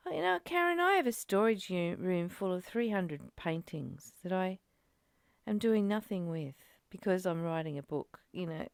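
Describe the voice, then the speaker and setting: Clear-voiced woman, close dry sound. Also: fairly low pitch, lively intonation, moderate pace, no room sound. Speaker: study group co-host, reader. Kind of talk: reading aloud and reflective casual discussion.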